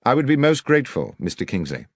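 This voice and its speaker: A shrewd gentleman detective, played by a male narrator, composed and assured. He puts formal, incisive questions with a widely moving pitch, recorded dry for an audiobook. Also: wildly swooping pitch, quick pace, no echo. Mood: politely probing. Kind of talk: audiobook character dialogue.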